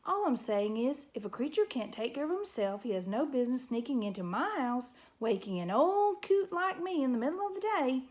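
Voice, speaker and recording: A cranky old porcupine coot from a storybook, loud, scornful and quick to bellow insults, as a woman reads him aloud. He screeches more than he talks, with a fairly high voice for such a crusty old creature. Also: big pitch swings.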